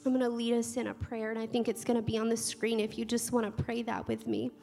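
A woman leading a church service, reading scripture and a communion prayer in a slow, measured way. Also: on the high side, flat delivery, creaky. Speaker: communion leader. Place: large church hall. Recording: church hall reverb with soft music.

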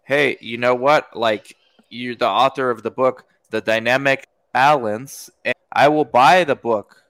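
Upbeat casual podcast host, dry sound. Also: male voice, medium pitch, measured pace, no room sound. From United States. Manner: energetic, informal, enthusiastic. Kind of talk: casual interview chat.

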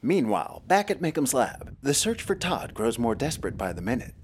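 A man's voice: medium pitch, big pitch swings, a little fast, natural voice. A man narrating a scripted audio drama in a dramatic announcer's manner.

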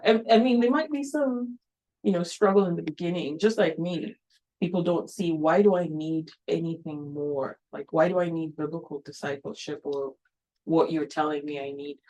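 A woman's voice: deep voice, darkish tone, poor audio. Earnest woman missionary, filler-heavy. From Kenya. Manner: earnest, with many fillers like um and you know. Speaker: missionary church planter. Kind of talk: testimony at a mission event.

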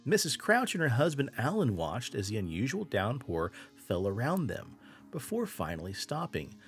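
Animated male podcast storyteller. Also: medium pitch, normal speed, soft background music. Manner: animated storyteller, laughs often. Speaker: podcast host. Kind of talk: casual storytelling podcast chat.

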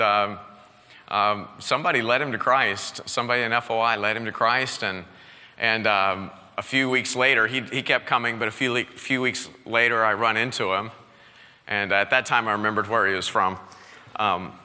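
A male pastor preaching an energetic sermon, exhorting his congregation with call-and-response. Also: fairly low pitch, normal speed, in a large hall.

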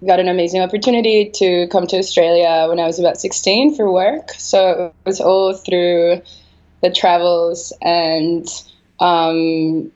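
A woman's voice: lowish voice, steady tone, measured pace, darkish tone. A woman from Venezuela, an artist, giving casual, reflective answers in an interview and feeling her way through her thoughts. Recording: slightly dull, lo-fi sound.

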